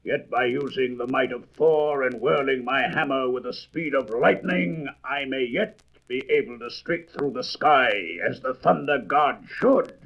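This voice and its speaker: An actor playing a mighty, resolute thunder god in a grandiose, declamatory comic book audio drama. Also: male voice, mid-range voice, a little slow, gravelly, a bit bright. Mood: bold, determined. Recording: noisy, dull old record with clicks.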